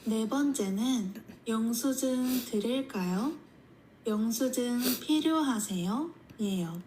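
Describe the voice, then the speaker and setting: A woman's voice: fairly high pitch, lively intonation, very fast, mellow, low-quality recording. A woman reading out scripted example sentences, recorded with some background noise.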